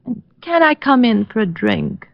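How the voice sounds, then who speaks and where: A woman's voice: fairly high pitch, very animated, a little slow, gravelly, no room sound, full character voice. An actress playing a concerned young woman calling on a detective in an old-time radio drama. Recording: muffled vintage radio recording, music behind.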